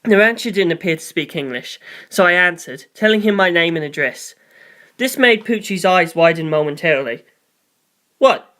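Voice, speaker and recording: A man's voice: sits high, lively intonation, normal speed, bright, forceful tone. A man serves as the narrator of a story. He reads scripted first-person prose, moving through description and inner questions.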